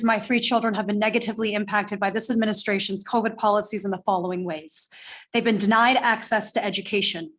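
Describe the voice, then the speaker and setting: A woman giving a firm, pointed public comment as a parent at a school board meeting, speaking loudly into a podium microphone. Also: medium pitch. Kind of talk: reading a prepared public statement.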